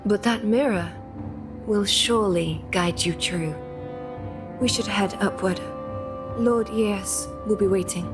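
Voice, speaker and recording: An actress playing a composed, loyal warrior clan leader of feudal Japan in a video game cutscene. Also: female voice, medium pitch, slow, long pauses, natural voice. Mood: resolute, calm. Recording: music under the voice.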